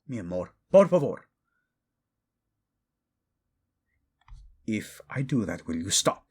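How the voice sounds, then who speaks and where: A man's voice: lowish voice, slightly rough. A man playing a proud, vengeful young king, fiercely protective of his wife, in a dramatic romance audio roleplay. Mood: pleading, restrained. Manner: brooding, commanding, tender in endearments.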